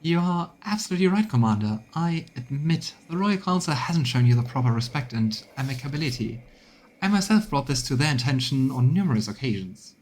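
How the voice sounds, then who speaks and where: A man's voice: medium pitch, expressive, fairly quick, a little mellow, lightly acted. A man voicing a haughty noblewoman courtier turned deferential, reading video game dialogue in a formal, courtly manner. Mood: deferential, apologetic.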